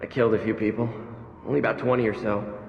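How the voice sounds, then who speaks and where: A man's voice: on the low side, little pitch movement, fast talker, gravelly, a little hiss or hum. A man playing a jaded, streetwise prison inmate in a video game, slangy, blunt and matter-of-fact. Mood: jaded, resigned. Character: jaded streetwise male convict, rough and resigned. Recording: echoey, muffled, faint music.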